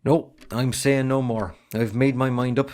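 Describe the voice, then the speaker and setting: A man's voice: mid-range voice, fairly even intonation, unhurried, darkish tone, close to an everyday voice. A man playing a guarded, secretive young farmer's son with an Irish accent in an amateur drama. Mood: guarded, stubborn.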